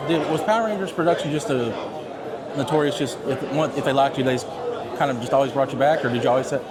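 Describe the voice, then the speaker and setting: A man's voice: medium pitch, fast. A man asking casual interview questions with informal, stumbling phrasing at a busy convention. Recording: loud convention crowd. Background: crowd chatter.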